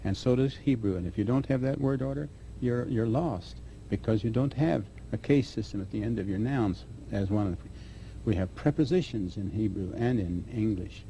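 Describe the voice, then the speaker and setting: A man answering interview questions as a scholar, speaking haltingly with restarts mid-phrase. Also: on the low side, gravelly, mellow, slight background noise, poor audio. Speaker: guest scholar.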